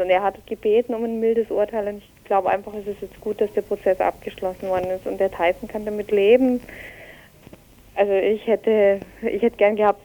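A woman's voice: medium pitch, dark tone, rough recording. A woman activist answering interview questions spontaneously, in colloquial speech with emphasis on key words.

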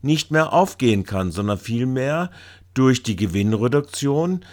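A man narrating a scripted report with commentary, speaking haltingly, with hesitations. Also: mid-range voice, animated.

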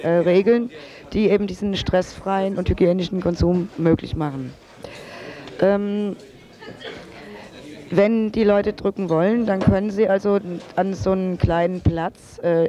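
A woman's voice: mid-range voice, dark tone. A woman guest in a radio interview, explaining step by step in informal everyday speech. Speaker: drug-aid worker guest.

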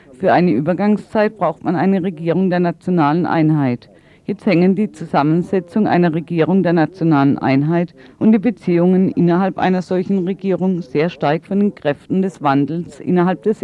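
A man working as an interpreter, delivering a spoken translation of interview answers. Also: high-pitched. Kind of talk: consecutive voice-over interpreting. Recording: slightly dull radio sound.

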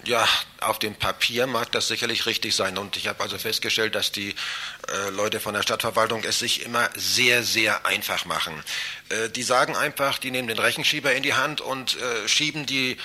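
A man answering interview questions as a citizens' association chairman, halting and rephrasing himself as he goes. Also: lowish voice, steady tone, sharp tone.

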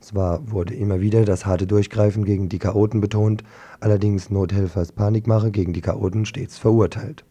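A man's voice: on the low side, warm tone, slightly rough. A man presenting a scripted political report on the radio in formal, pointedly worded language.

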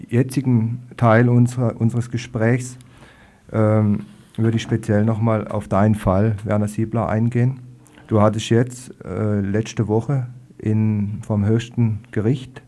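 Man, radio host, dark mellow voice, fairly low, informal, hesitant interview moderation. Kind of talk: live studio interview moderation. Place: radio studio.

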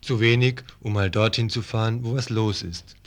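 A man's voice: fairly low pitch, steady tone, normal speed. A man presenting a scripted radio promo, speaking directly to listeners as part of a news team.

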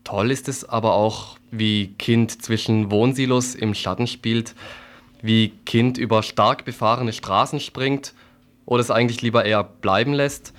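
A man's voice: mid-range voice, steady tone, a little slow. A man reading a scripted satirical radio report with an ironic, mock-earnest delivery.

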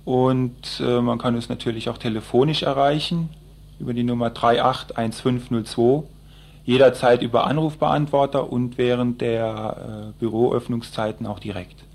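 A man answers radio interview questions as an activist would, explaining things step by step. His mid-range voice stays flat in pitch, and his delivery is halting and searching. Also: vocal fry. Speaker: environmental group activist being interviewed.

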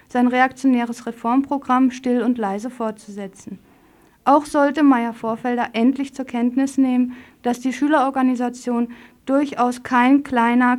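A woman's voice: a little high, mellow. A woman presenting scripted news items on community radio.

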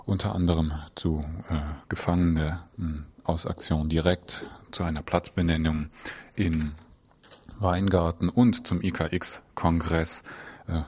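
A man with a very deep, husky voice presents a radio show. He announces the programme loosely and haltingly, like someone speaking live. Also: darkish tone. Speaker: radio host.

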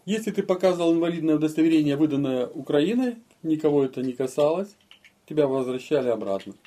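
A man's voice: on the high side, animated, fast, warm tone, slight background noise. A man giving an explanatory account of his own experience in a casual interview, repeating phrases for emphasis. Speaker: interviewee, eyewitness.

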